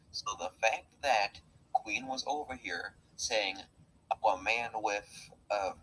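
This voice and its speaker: Bright-voiced young man, casual commentary. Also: lowish voice, slight background noise, low-quality recording. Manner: reads out quotes and argues points.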